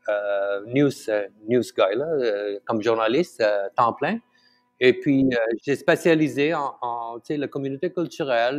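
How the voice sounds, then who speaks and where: Halting middle-aged Malaysian-born man. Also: medium pitch. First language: Tamil. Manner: halting, many fillers and restarts, chuckles often. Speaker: chef, interview guest. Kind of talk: casual conversational interview.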